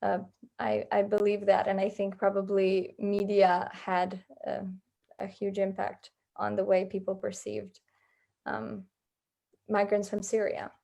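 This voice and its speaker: Creaky-voiced woman interviewer. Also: mid-range voice, completely flat delivery, unhurried, dark tone. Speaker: interviewer, host. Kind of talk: conversational interview questions.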